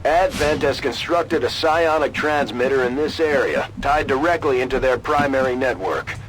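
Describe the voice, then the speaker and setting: Actor as a firm military briefing officer, noisy background. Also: male voice, mid-range voice, moderate pace, natural voice. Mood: firm, businesslike. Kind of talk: video game mission briefing.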